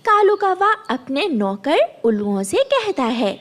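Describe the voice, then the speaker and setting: A woman's voice: high-pitched, sing-song, unhurried. A woman reading scripted narration for a children's cartoon story.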